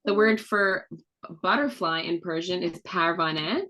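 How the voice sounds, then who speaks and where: A woman's voice: lowish voice, normal speed, bright, forceful tone, low-quality recording. A woman and mother, a children's book author, chatting casually on a video call and teaching children with questions and fun facts. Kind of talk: casual read-aloud talk with children.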